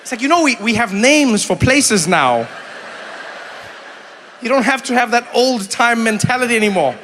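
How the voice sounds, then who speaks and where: A middle-aged man doing stand-up with a high, bright and forceful voice. He teases the crowd and keeps slipping into loud, animated impressions. He is heard in a big theater, with the sound ringing out in a long reverb over a faint hiss. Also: normal speed. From South Africa. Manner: animated, jumps into shouted impressions and teasing banter. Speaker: stand-up comedian. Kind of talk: stand-up comedy with crowd work. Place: stage in a large theater before an audience. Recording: live theater with long hall reverb and faint room noise.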